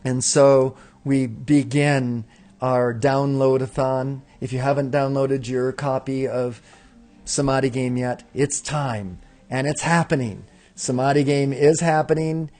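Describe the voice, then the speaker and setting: Male host of a meditative broadcast, mid-range voice, calm and reflective, leads group affirmations, light music under. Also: mid-range voice, a little slow. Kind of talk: meditative spiritual talk leading chanted affirmations. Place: indoor room with windows in the mountains.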